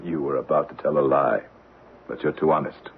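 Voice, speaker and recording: An American actor playing a proud, combative middle-aged financier in an old-time radio drama, with clipped, argumentative delivery. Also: male voice, a bass voice, steady tone, brisk. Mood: grim. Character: proud, embattled male Wall Street financier, combative and cynical. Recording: muffled, noisy old radio broadcast.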